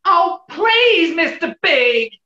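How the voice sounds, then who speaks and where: A woman's voice: extremely high pitch, sing-song, drawn-out words, sharp tone, exaggerated voice. A woman playing a devoted, overwrought sweetheart with breathless melodrama in a radio play. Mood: pleading. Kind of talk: 1940s-style radio play acting. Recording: roomy, muffled online audio.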